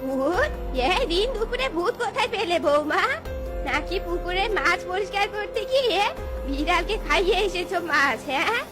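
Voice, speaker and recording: A woman's voice: very high voice, lively intonation, moderate pace, over music. A woman voicing a bold, scolding old jackal mother-in-law in a cartoon, nagging and accusing. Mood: suspicious scolding. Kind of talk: cartoon voice acting.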